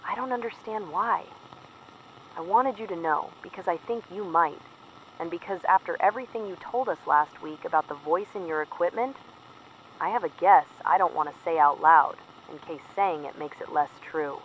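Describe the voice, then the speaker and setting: A woman's voice: on the low side, moderate pace, natural voice. A woman playing a weary, fearful field operative in an audio drama, confiding a careful, plain-spoken secret report over the radio. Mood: hushed, puzzled. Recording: muffled noisy radio transmission.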